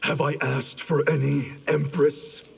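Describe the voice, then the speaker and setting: A man playing a cold, ambitious ninja traitor in a video game, haughty and clipped, with a very gravelly voice. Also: on the high side, little pitch movement, takes it slowly, full character voice. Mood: cold, arrogant. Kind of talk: dramatic video game acting. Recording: clear background noise.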